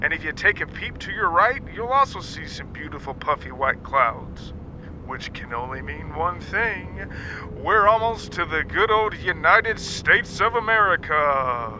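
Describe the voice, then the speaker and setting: Man acting as airline pilot, folksy, laid-back, a bit high, buzzy intercom, engine rumble. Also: expressive, unhurried. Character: folksy male airline captain making a casual announcement. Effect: cabin intercom.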